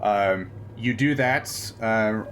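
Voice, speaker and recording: A man acting as game master, explaining tabletop game rules off the cuff in a halting, explanatory way. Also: on the low side, a little hiss or hum.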